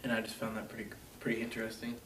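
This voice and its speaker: A man's voice: fairly low pitch, completely flat delivery, rapid-fire, fairly bright tone. Chatty young man, echoey distant mic. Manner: chatty, lots of "like", acts out scenes. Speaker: movie review co-host. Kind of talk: casual movie review chat. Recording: echoey room, mic far off.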